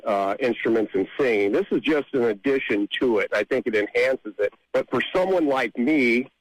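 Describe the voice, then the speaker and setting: Male interview guest, songwriter, mid-pitched voice, conversational, explanatory, repeats points for emphasis, dry close sound. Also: no room sound, rough recording.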